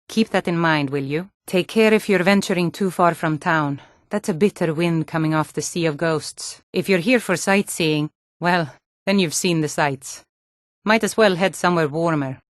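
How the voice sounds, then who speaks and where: A woman's voice: fairly low pitch, no echo, clean studio sound, close to an everyday voice. An actress playing a tough, plain-spoken, world-weary Nord townswoman in a fantasy video game. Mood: matter-of-fact. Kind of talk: video game character dialogue.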